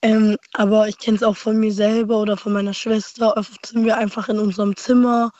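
A woman in her twenties calling a radio show, hoarse from a cold, with a low, calm voice. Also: brisk, dry recording, dull audio. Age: 20s. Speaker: caller. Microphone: phone line.

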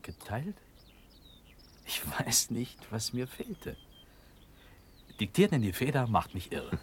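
Slow, brooding young prince. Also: male voice, mid-range voice, sing-song, a little hiss or hum. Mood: absent-minded. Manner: hesitant, musing. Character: distracted, brooding young prince confiding in friend. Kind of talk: German classical radio drama acting.

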